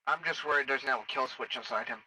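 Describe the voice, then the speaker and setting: A man's voice: medium pitch, fast talker, pushed and bright, slightly rough, no room sound, far from the mic, rough recording. A man playing a worried, cautious technician in a sci-fi game role-play, explaining things hesitantly. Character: worried, cautious technician analysing cybernetic implants.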